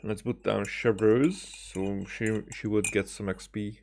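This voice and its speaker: Slow, low-voiced man streaming a game. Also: steady tone, vocal fry, a little mellow, slight background noise. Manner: hesitant, thinking aloud. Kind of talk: casual live gameplay commentary.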